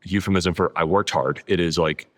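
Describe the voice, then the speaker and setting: A man's voice: sits low, steady tone, moderate pace. A man talking as an interview guest, explaining casually with lots of "like" and stacked adjectives for emphasis. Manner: peppers sentences with "like", emphatic repetition of adjectives. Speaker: podcast guest, author.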